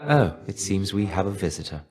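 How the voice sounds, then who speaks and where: A man playing a weary, melancholy shade of a fallen ancient Greek warrior in a video game, measured, wistful and dryly understated. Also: low-pitched, sing-song, crowd noise. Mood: gentle, calm. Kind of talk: video game character voice lines.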